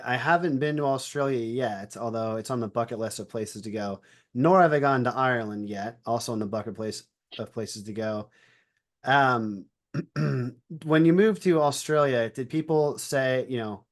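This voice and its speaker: Man hosting a podcast interview. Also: mid-range voice. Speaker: podcast host.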